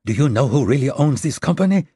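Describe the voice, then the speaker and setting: A man's voice: medium pitch, lively intonation, quick pace, warm tone, slightly rough, dry recording, clean studio sound, voice pushed up. A man voicing a defiant young woman who speaks incredulously.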